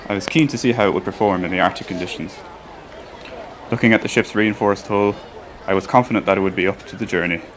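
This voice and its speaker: An actor narrating a radio play as a reflective ship's engineer, telling his memories in a measured, diary-like way. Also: fairly low pitch, a little hiss or hum, lightly acted. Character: reflective male ship's engineer recalling a doomed Arctic voyage.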